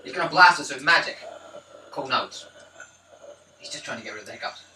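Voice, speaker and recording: A man's voice: medium pitch, expressive, fairly quick, pushed and bright. A man chatting casually and jokingly as a player at a tabletop game. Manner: joking, blunt. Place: indoors at a gaming table. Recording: echoey room, distant mic, faint noise.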